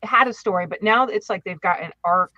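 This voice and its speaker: A woman chatting casually as a podcast co-host, deliberate and emphatic, repeating words for stress. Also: mid-range voice, pushed and bright, highs cut off.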